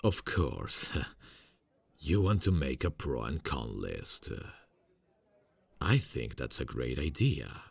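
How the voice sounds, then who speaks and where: A man as a gravelly-voiced secretly murderous student. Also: a bass voice, very animated, slow, long pauses, no room sound, heavily acted. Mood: falsely friendly. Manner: soft, hesitant, full of uh fillers, quietly insinuating. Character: friendly male college student, secretly a serial killer. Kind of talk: ASMR roleplay.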